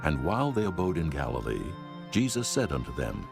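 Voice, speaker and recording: Very deep gravelly male scripture narrator. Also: big pitch swings, fairly quick, music in the background.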